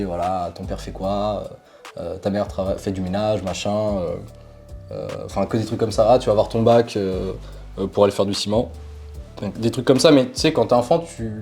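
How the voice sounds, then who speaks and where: Young man, Portuguese native, relaxed chatty storyteller with a dark, mellow tone over faint music. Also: lowish voice. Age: young adult. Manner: relaxed, animated storytelling with frequent fillers. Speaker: interview guest. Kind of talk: casual conversational storytelling.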